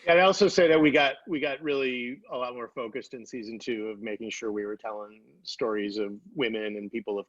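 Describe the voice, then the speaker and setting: A man talking casually as a television writer on a panel, halting and repeating phrases as he thinks, on a dull-sounding microphone. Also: on the low side, a bit bright, no room sound.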